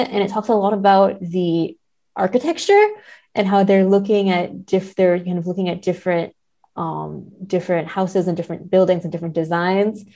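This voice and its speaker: A woman's voice: medium pitch, mellow. A woman speaking as a podcast guest and literary scholar, reflective and careful as she works through her analysis. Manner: measured, reflective, careful hedging. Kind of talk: thoughtful literary discussion.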